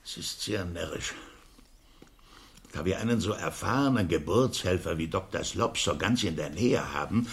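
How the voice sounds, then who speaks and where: An actor playing an exasperated, argumentative master of the house in a period radio play, grumbling and declamatory. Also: male voice, low-pitched, expressive, normal speed, vocal fry.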